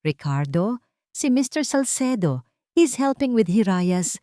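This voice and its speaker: A woman's voice: mid-range voice, sing-song, a little slow, mellow, dry recording, studio quality, voice pushed up. A woman voicing a composed, protective wealthy mother, earnest and polite, in a storybook narration. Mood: firm, protective.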